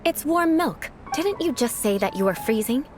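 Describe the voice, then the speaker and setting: A woman's voice: high-pitched, animated, normal speed. An actress voicing a haughty, elegant young noblewoman knight in a video game, speaking formally and imperiously, aloof and vengeful yet caring. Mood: composed, teasing. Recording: background music under the voice.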